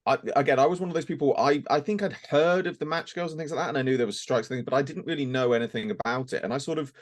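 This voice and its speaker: A man's voice: mid-range voice, very fast. A man answering as a voice actor in a casual interview, candid and a little rambling. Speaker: voice actor and podcast guest.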